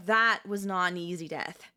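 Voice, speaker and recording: A woman's voice: on the low side, unhurried, sharp tone. A woman voicing a chatty female zombie who cheerfully and conversationally coaxes in a comedic audio roleplay. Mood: wry storytelling. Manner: conversational, coaxing, wheedling. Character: chatty, cheerfully persuasive female zombie craving human flesh.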